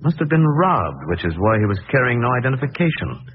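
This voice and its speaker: An actor playing a composed, learned crime fighter, grave and courteous, in measured 1940s radio acting. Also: male voice, mid-range voice, sing-song, close to an everyday voice. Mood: informative. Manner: measured, authoritative, formal. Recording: tinny old radio broadcast, faint hiss.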